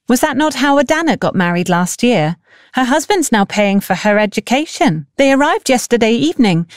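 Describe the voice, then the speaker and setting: A woman's voice: on the high side, a little mellow, no room sound, clean studio sound, pitched above the performer's own voice, close to an everyday voice. Woman voicing an eager, chatty village teenage girl. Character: eager, ambitious village teenage girl, Neka, dreaming of rich husband. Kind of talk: audiobook character dialogue.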